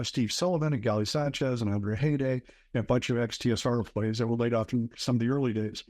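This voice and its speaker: A man's voice: mid-range voice, darkish tone, no room sound. A man in his fifties from southern Wisconsin, telling long rambling stories as a guest in a casual interview. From southern Wisconsin, USA. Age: 50s. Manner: rambling storyteller with many fillers. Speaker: guest, author and game designer.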